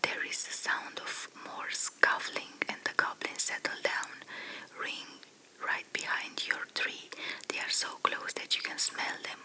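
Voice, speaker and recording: A woman whispering a second-person fairy tale slowly and softly, in the style of ASMR storytelling. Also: rough recording. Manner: soft, slow, hushed whisper.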